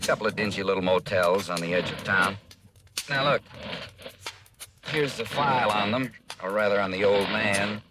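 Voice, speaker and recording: An actor playing a harried, folksy American insurance manager in a 1950s radio drama, talking in a conversational way. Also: male voice, fairly low pitch, moderate pace, pauses often, lightly acted. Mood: concerned. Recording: noisy, clicking vintage radio recording.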